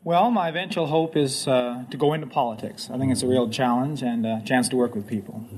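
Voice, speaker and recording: A man's voice: mid-range voice, brisk, a little mellow. An American man in his twenties from California, answering as a contestant in a polite, earnest, modest way. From Hollywood, California. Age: 20s. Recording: faintly noisy 1950s radio recording.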